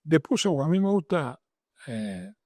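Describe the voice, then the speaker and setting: A man's voice: on the high side, very animated, a little slow, warm tone, dry recording, studio quality. An older man with a Cuban accent answering interview questions emphatically, repeating phrases as he builds lists. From Matanzas province, Cuba. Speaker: interviewed doctor and author.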